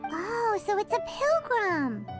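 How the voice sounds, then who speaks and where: A tiny childlike fairy companion from a video game, extremely high and swooping in pitch. She is chirpy and cheeky, tossing out chatty exclamations over clear background music. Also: big pitch swings, takes it slowly, heavily acted. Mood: wry. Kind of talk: video game character acting.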